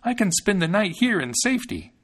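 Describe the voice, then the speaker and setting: Brief: thumb-sized cheeky boy, very high squeaky voice. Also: very animated, brisk, full character voice. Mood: fretful. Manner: squeaky, shouting.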